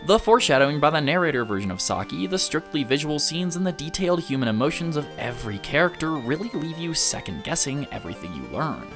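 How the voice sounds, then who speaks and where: A man reading a scripted review in the style of a video essay, earnest and measured, with emphatic stresses. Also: fairly high pitch, sing-song, music in the background.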